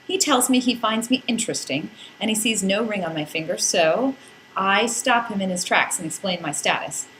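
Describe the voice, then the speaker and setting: A woman's voice: mid-range voice, fairly bright tone, slight background noise, poor audio. A woman narrating a scripted first-person comic story in a playful, wry, theatrical way with dramatic emphasis. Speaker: podcast narrator reading a blog.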